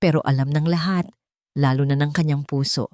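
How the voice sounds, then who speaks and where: A woman's voice: low-pitched, steady tone, brisk, warm tone, no echo, clean studio sound. A woman with a Filipino accent narrating a romantic drama in a scripted, dramatic storytelling style. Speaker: narrator of love stories.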